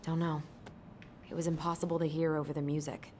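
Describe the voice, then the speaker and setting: A woman's voice: sits low, level tone, fairly quick, mellow, close to an everyday voice. Actress as young woman, skeptical, sardonic, confrontational, natural game dialogue, noisy ambience. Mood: sardonic, frustrated. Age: young adult. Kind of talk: naturalistic video game acting.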